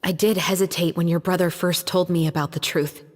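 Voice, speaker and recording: An actress voicing a composed, principled young female law officer in a game cutscene, speaking in an earnest, deliberate, formal way. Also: on the low side, fairly even intonation, darkish tone, close to an everyday voice. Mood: grave, resolute. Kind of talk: dramatic video game cutscene acting. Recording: long reverb, music underneath.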